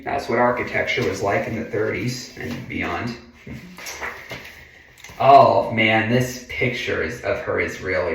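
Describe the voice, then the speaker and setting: Guy reading a kids book out loud real slow, big echoey room, kinda far from the mic. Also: mid-range voice. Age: adult. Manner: slow, deliberate delivery with frequent murmured mm-hmms. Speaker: reader of a children's picture book. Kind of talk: read-aloud storytelling with casual asides.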